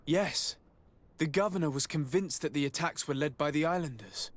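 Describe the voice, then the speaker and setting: A man voicing an earnest, principled noble envoy in a video game, speaking in a measured, formal, serious way. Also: fairly high pitch, dry recording, natural voice. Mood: serious, reporting. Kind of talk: dramatic video game acting.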